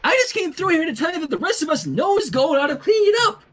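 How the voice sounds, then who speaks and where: A man voicing a brash, excitable young female cartoon pony, blurting and breezy, in a fan fiction reading. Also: very high voice, fast, pitched above the performer's own voice. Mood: brash, cheerful.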